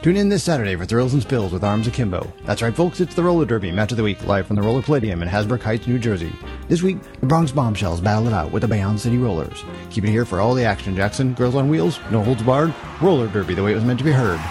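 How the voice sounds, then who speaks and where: A man's voice: fairly low pitch, lively intonation, fast. A man delivering a punchy, hyped sports promo as a slangy old-time radio announcer. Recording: prominent music behind the voice.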